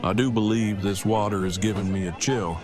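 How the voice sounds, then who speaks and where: A man playing a wordy, theatrical dad with formal, deliberate phrasing in an audio drama. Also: lowish voice, steady tone, music in the background, lightly acted. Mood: mock-solemn.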